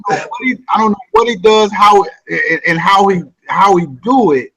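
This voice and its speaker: A man's voice: high voice, brisk, crowd noise, low-quality recording. A man from the Midwest hosting a casual chat, loose and joking. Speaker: host.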